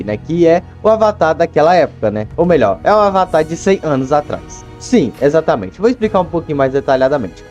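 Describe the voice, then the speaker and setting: A man with a Brazilian accent and a slightly high voice talks casually, as in a YouTube video essay. His phrasing is colloquial and full of small tag questions. Music plays faintly behind him. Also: mellow. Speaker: YouTube commentator. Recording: voice over background music. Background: background music.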